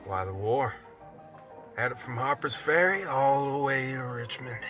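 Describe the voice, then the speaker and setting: Tired war veteran, male actor for western radio: medium pitch, unhurried, restrained, peaceable. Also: bright, forceful tone. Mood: weary. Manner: laconic, restrained, reluctant. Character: big, weary, peaceable war veteran in Old West. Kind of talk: amateur western radio play acting. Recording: student radio play with music behind.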